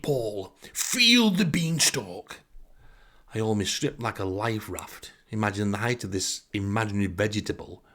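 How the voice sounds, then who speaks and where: A man from England, telling a comic anecdote in a wry, exasperated way. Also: lowish voice. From northern England. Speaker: storyteller.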